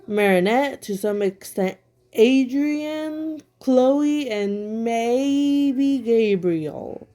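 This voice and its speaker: A woman talking at home in an unhurried, mellow voice that sits a little high. She is slightly sick with a sore throat. She gives blunt, critical commentary full of "like" and "um". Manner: blunt and critical, with many fillers. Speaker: online commentator. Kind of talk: casual opinion commentary.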